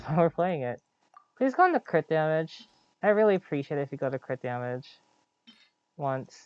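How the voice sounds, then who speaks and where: A woman's voice: very deep voice, muffled recording. A woman streaming a game with casual live commentary, playfully muttering to herself with frequent interjections. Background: game music.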